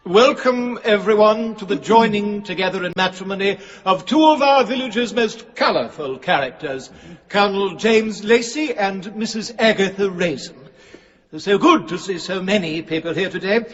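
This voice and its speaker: An actor playing an earnest English village vicar leading a wedding in a radio drama, in an echoing space. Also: male voice, high voice, normal speed, lightly acted. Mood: ceremonial. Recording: echoey church acoustic, dull, faint music.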